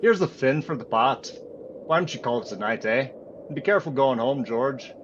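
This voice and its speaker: Man playing hearty avuncular local fellow, gruffly kind, radio drama, medium pitch with big swings, noisy street ambience. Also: lively intonation, normal speed, dull audio, natural voice. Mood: hearty, kindly. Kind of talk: old-time radio play acting.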